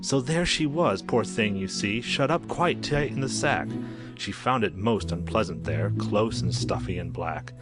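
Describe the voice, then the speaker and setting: A man's voice: on the low side, measured pace. A man narrating a rhyming children's story as a storyteller, with a sing-song, rhythmic delivery. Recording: loud music under the voice. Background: music.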